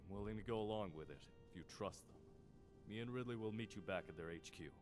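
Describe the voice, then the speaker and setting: Game role: man as suspicious but loyal sidekick, very deep, fast-talking, guarded. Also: music in the background, close to an everyday voice. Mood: wary, reluctant. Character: wary, hard-nosed male companion, reluctantly loyal. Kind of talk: video game dialogue.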